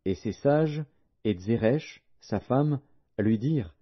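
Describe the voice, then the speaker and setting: A man's voice: medium pitch, expressive, takes it slowly. A man narrating a Bible audiobook in a solemn, scripted reading style. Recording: dull, lo-fi audio.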